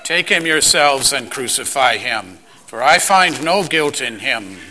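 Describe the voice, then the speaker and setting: Man acting a bright, forceful Roman governor. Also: mid-range voice, expressive, unhurried, poor audio. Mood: measured, uneasy. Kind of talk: dramatized scripture reading.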